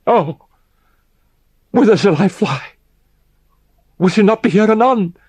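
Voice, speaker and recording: Gothic audiobook role: tormented madman, husky, pitched well up, theatrical and full of guilty dread. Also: animated, slow, darkish tone, no echo, heavily acted. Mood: frenzied terror. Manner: tormented, theatrical, repeating words, building to shrieks. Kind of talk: gothic horror audiobook character acting.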